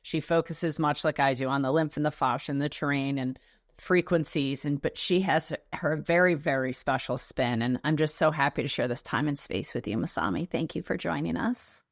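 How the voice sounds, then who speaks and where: A woman's voice: sits low, moderate pace, dry recording, studio quality. A woman hosting an interview podcast, talking in an effusive, gushing way with frequent restarts. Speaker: podcast host. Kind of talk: warm interview chat.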